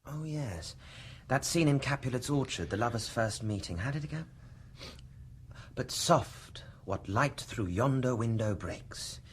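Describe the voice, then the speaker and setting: A man's voice: medium pitch, expressive, a little slow, natural voice. An actor playing a thoughtful, self-mocking young male lecturer and writer who hesitates as he talks, in a radio drama.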